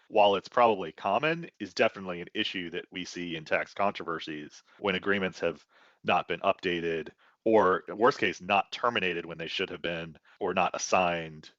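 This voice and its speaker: A man giving conversational expert commentary as a tax advisor on a business podcast, explaining in a measured way. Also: sits low, fairly bright tone, no room sound, lo-fi sound.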